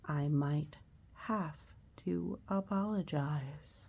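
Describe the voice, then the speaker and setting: Woman reading as a naughty, guilty little girl, slow and creaky. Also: low voice, darkish tone, heavily acted. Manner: drawn-out, deliberate rhyming delivery. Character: naughty young girl, guilty yet eager cake baker. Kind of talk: rhyming storybook read aloud.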